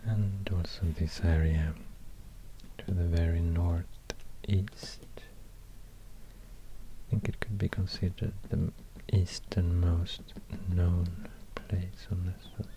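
A man with a Swedish accent giving soft-spoken, breathy, near-whispered ASMR commentary, unhurried and hesitant, close to a binaural microphone. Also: low voice, level tone, low-quality recording. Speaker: ASMR video host. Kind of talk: soft-spoken ASMR, casual musing while drawing.

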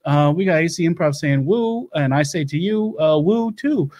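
A man playing a rambling doomsday-prepper host, talking fast, stumbling over words and jumping into loud, high bursts. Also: lively intonation, close to an everyday voice. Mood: manic rambling. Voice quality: rapid, stumbling, loud bursts, drawling asides. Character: rambling Louisiana doomsday-prepper man, manic, self-assured. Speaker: livestream show host. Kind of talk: improv character comedy.

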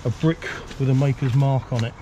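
A man's voice: mid-range voice, steady tone, a little fast, warm tone, a little hiss or hum. A man giving a casual exploring commentary, musing and putting questions to himself as he goes. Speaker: presenter of a canal exploring series. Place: outdoors by a canal bridge and road.

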